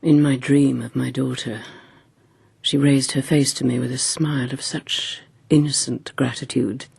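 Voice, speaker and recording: An actor playing a grieving older father recounting a dream, with a very raspy, slow voice in a radio drama. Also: mid-range voice, little pitch movement, darkish tone, dry recording, heavily acted. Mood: mournful. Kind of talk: BBC radio drama acting.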